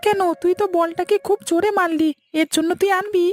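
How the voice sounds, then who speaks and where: A woman's voice: extremely high pitch, steady tone, fairly quick, soft background music. A woman voicing a young village boy in a cartoon, pleading and argumentative. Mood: bossy, annoyed. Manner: pleading, protesting. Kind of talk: cartoon voice acting.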